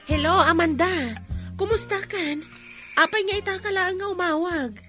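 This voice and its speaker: Caring young female friend, high voice, radio drama delivery, light music underneath. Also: moderate pace. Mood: concerned. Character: friendly, concerned young woman, loyal friend. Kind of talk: Ilocano radio drama acting.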